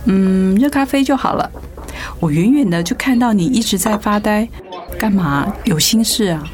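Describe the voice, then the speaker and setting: An actress playing a breezy, supportive editor friend with a Taiwanese accent, chatty and teasing, in a radio drama. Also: female voice, medium pitch, expressive, moderate pace, a little mellow, close to an everyday voice. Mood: friendly, curious. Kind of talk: Mandarin radio drama acting.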